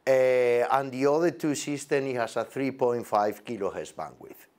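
A man in his forties with halting, non-native English gives an unscripted product demonstration as a presenter, recorded indoors on a lapel mic. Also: mid-range voice, animated. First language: not English. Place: indoor demo set with backdrop.

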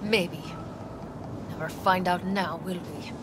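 A woman's voice: lowish voice, steady tone, slow pace. An actress playing a stern, no-nonsense female nomad in a video game, curt and scolding with folksy phrasing. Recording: noisy outdoor ambience behind.

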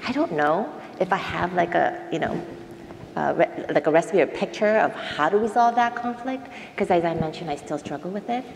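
A woman with a low voice speaks informally as a panelist. Her phrasing is halting and hesitant. The sound is echoey, with faint noise around her. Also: vocal fry, a little mellow. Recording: echoey hall, noisy room tone.

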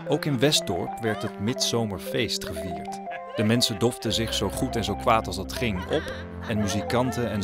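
A man narrating a story in a scripted audio drama style. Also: lowish voice. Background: music.

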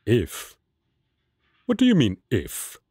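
A man's voice: medium pitch, wildly swooping pitch, slow, mellow, husky, no room sound, studio quality, full character voice. A man playing a haughty, protective angel in an audio drama, speaking in a formal, wary, disdainful manner. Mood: indignant, haughty. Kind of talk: roleplay audio drama acting.

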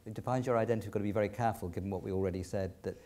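Older guy chairing a talk, lowish voice, keeps restarting his questions. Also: steady tone, very fast. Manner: hesitant, restarts questions, gentle. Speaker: event chair and interviewer. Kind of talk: on-stage interview. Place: large lecture theatre stage.

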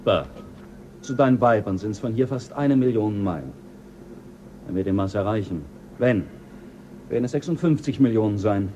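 A man's voice: fairly low pitch, expressive, normal speed, long pauses, mellow, lo-fi sound, slight background noise, natural voice. A man playing a stern, commanding chief pilot. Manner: firm, dry, commanding. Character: stern male chief pilot keeping order. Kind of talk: 1970s radio play acting.

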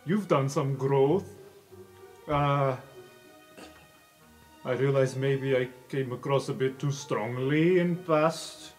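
A man's voice: mid-range voice, takes it slowly, long pauses, darkish tone, soft background music, close to an everyday voice. A man playing a brash, defensive elephant-man student guard in a tabletop game, blunt and self-important, swearing freely. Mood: gruff, dismissive. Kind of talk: improvised tabletop roleplay.

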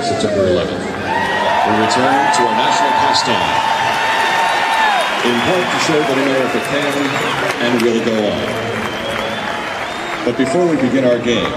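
A man announcing over a stadium public address system, speaking slowly and solemnly in a formal ceremonial style. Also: mid-range voice. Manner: slow, measured, solemn delivery. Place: large outdoor baseball stadium. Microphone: PA system heard from the stands. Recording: echoing stadium PA, loud crowd, distant. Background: crowd noise.

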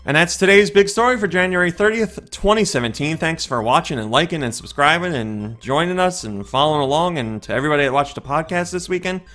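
A man in his thirties, his voice a little hoarse, hosting a casual daily news show from a small home room on a studio mic, chatting and thinking aloud with fillers and restarts. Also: on the high side, expressive, fast, hardly any pauses, fairly bright tone, soft background music. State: slightly hoarse voice. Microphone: large studio condenser mic in front of face.

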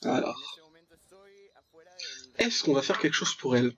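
A man's voice: fairly high pitch, sing-song, measured pace, fairly bright tone. A man giving casual, joking gameplay commentary as the host of a gaming video. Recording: dull, lo-fi mic, music behind. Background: game music.

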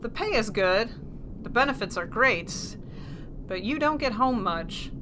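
A woman's voice: fairly high pitch, lively intonation, a little slow, noisy background. A woman playing a wry, matter-of-fact emergency shuttle pilot in an audio drama. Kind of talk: audio drama first-person narration and dialogue.

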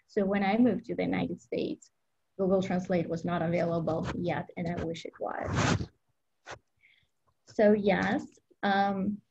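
A woman's voice: mid-range voice, creaky, mellow, lo-fi sound, slight background noise. A woman whose first language is Russian, presenting an educational webinar over a video call in an upbeat, explanatory way with frequent ums.

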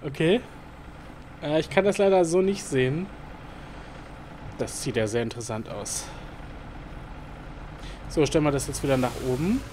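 A man's voice: on the high side, unhurried, long pauses, darkish tone, a little hiss or hum. A man giving casual let's-play commentary, rambling with many fillers and muttering to himself. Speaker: gaming YouTuber.

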